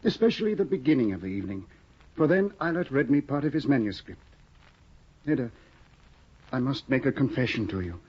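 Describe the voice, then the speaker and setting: An actor playing an excitable, fussy young scholar husband in a 1950 radio drama, flustered and exclaiming, on a dull old broadcast recording. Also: male voice, mid-range voice, brisk, long pauses, breathy edge. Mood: fretful. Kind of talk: 1950s radio drama acting.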